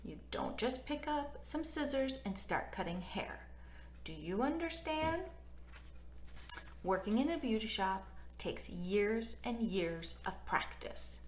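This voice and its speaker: A woman's voice: mid-range voice, sing-song, takes it slowly, a little hiss or hum. A woman voicing a grumpy, stern, weary father in a children's storybook, speaking in a firm, grouchy manner. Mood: stern, exasperated. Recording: roomy, echoey room sound.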